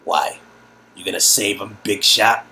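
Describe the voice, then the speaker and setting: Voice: sits low, bright, forceful tone, a little hiss or hum. A game story reader voicing a mocking prison guard who drawls menacing taunts at a captive. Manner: mocking, drawn-out menacing delivery.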